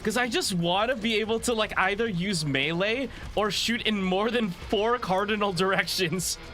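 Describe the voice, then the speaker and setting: A man with a raspy voice chatting casually and rambling in a self-deprecating way as he livestreams a game. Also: high-pitched, moderate pace, bright, forceful tone, soft background music. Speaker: livestreamer, VTuber host. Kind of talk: casual gaming stream chat.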